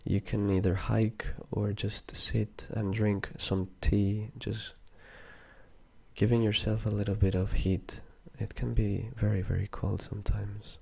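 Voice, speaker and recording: A young Peruvian man speaks English with a Spanish accent, soft-spoken and full of hesitant pauses. His voice is very low and gravelly. The tone stays dark and flat. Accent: Peruvian Spanish, mixed with Mexican and Argentinian. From Peru. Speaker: interview guest. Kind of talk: soft-spoken casual interview.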